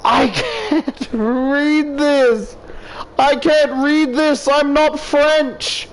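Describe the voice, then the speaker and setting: A man's voice: very high-pitched, extremely slow, a little hiss or hum, poor audio. A man reading a visual novel aloud as a gaming YouTuber, with casual, profane commentary and exclamations.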